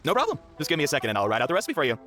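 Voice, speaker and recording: A man's voice: a little high, huge pitch swings, racing, loud music behind the voice. A man voicing a jovial, generous food stall cook in a video game, breezy and friendly.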